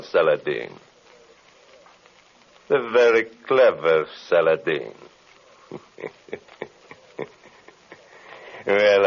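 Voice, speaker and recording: An actor playing a menacing, mockingly courteous villain sorcerer in an old radio drama, with a sinister, taunting delivery. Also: male voice, sits low. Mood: gloating, menacing. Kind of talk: 1940s radio drama acting. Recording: dull old radio recording.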